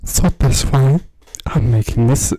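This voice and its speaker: A man's voice: mid-range voice, measured pace, mellow, low-quality recording. A young man doing a joking parody of ASMR in his bedroom, laughing and swearing into a handheld mic held close. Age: young adult. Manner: jokey, laughs often, swears. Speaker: creator of his own channel. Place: small bedroom. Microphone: handheld condenser mic held close, headphones.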